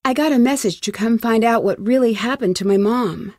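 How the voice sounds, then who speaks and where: A woman's voice: on the high side, fairly quick, darkish tone, dry recording, clean studio sound, close to an everyday voice. An actress playing an earnest, determined young female amateur detective in conversational video game dialogue. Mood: earnest, pleading. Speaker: lead character in a detective adventure game.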